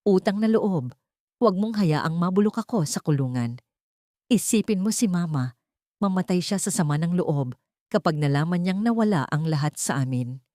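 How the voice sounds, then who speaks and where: A woman's voice: low voice, dark tone, no echo, clean studio sound. Woman voicing a desperate, pleading husband. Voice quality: cracked, stammering voice. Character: desperate, guilt-stricken pleading man, cornered husband.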